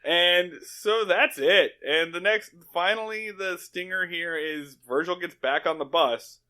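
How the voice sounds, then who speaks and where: A man's voice: sits high, measured pace, sharp tone, clear, resonant tone. A man co-hosting a podcast in casual, comedic chat, swearing freely and slipping into paraphrased dialogue. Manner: profane, slangy, acts out paraphrased dialogue. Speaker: podcast co-host. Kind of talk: casual comedic chat recapping an old TV show.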